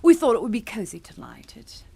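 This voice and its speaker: A woman's voice: a little high, wildly swooping pitch, a little fast, creaky, warm tone, husky, full character voice. An actress playing an anxious, polite English mother and hostess in a radio suspense drama, genteel and fussing. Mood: anxious politeness. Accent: British.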